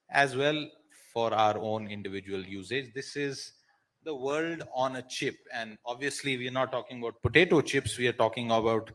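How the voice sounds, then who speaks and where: An Indian-accented man moderates a live conference panel. He speaks haltingly at a middle pitch. He frames his remarks as questions to audience and guests. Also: a bit bright.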